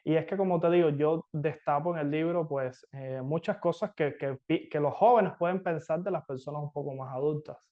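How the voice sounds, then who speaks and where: Higher-voiced Cuban man, dull lo-fi audio. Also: moderate pace, hardly any pauses. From Cuba. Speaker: interview guest, novelist. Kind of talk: conversational interview answers.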